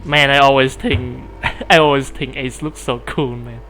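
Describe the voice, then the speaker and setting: A man's voice: medium pitch, fairly even intonation, a little slow, pushed and bright, faint music behind. A man with a youthful, boyish voice streaming casually, rambling with many fillers and restarts and laughing often. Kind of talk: casual live-stream commentary.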